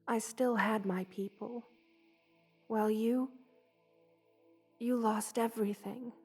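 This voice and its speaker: Woman playing a loving monster-girl spider queen, unhurried, breathy and husky, hushed motherly ASMR roleplay, tender and wistful. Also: a little high, steady tone, soft background music, heavily acted. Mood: earnest, reassuring. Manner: slow, hushed, tender, often breathing into whispers. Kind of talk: ASMR roleplay, soft comforting delivery.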